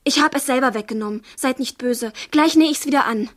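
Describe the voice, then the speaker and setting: A woman's voice: high voice, brisk. An actress playing an impulsive, devoted fifteen-year-old girl in a poetic radio drama, theatrical and fervent. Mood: confessing, eager. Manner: theatrical, fervent, swinging from hushed to impetuous. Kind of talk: German radio drama acting, poetic verse.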